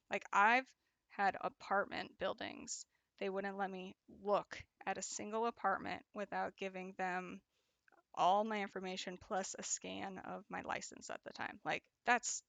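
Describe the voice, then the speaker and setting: Young woman craft streamer with a bright, gravelly voice, chatty and laughing often, in a dry, close sound. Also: mid-range voice, no room sound, highs cut off. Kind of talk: casual chat while crocheting and reading chat.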